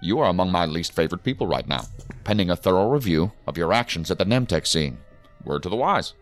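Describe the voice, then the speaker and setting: Stern federal boss, low-pitched, authoritative, clipped formal orders, audio drama, music underneath. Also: male voice, animated, fast. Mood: stern, impatient. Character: stern, commanding male assistant director of federal investigators.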